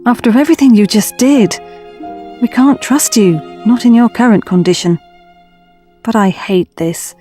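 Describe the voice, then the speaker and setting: Woman playing an earnest young traveller, mellow voice. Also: a little high, animated, moderate pace, faint music behind, close to an everyday voice. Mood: regretful, earnest. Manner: earnest, confiding. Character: caring, troubled young woman travelling through time.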